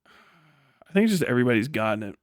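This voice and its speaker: Male stream host, mid-pitched, blunt and profane, off-the-cuff opinions, very little room sound. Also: big pitch swings, a little fast, dry recording, clean studio sound. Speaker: livestream host reading and answering chat. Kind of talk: casual, unscripted livestream commentary.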